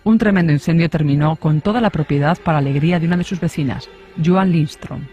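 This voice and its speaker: A man's voice: fairly high pitch, quick pace, a little mellow, dull audio, faint music behind. A man reading a scripted true-crime radio narration.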